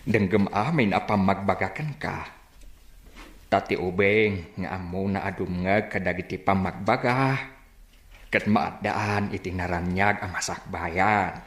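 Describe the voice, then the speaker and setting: Deep, patient old father. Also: a bit bright, echoey room. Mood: tender advising. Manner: patient, admonishing, measured. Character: gentle, patient older father, advising his child. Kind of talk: radio drama acting.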